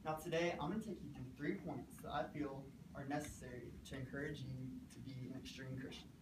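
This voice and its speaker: A man's voice: mid-range voice, fairly even intonation, very noisy. Teen guy preaching a short sermon, earnest, picked up from across an echoey classroom. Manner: earnest, measured, speaks in short phrases. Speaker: student preacher. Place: classroom with a small stage, indoors. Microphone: far camera, no microphone. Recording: echoey room from far off.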